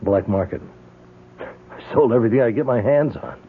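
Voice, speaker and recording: An actor playing a blunt, defensive former Marine turned profiteer in an old radio drama, with a husky voice. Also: male voice, mid-range voice, a little fast. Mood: apologetic, defensive. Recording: tinny old radio broadcast.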